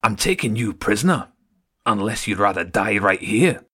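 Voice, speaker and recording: A man's voice: on the low side, sing-song, normal speed, sharp tone, husky, heavily acted. A man voicing a cocky, threatening giant rat guard armed with a pike, in a storybook reading. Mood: menacing. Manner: threatening, boastful.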